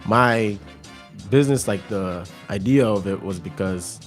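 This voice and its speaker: A man's voice: lowish voice, a little slow, soft background music. A young man guesting on a radio show, talking casually about his clothing brand with lots of fillers and restarts. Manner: laid-back, rambling with many fillers. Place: radio studio.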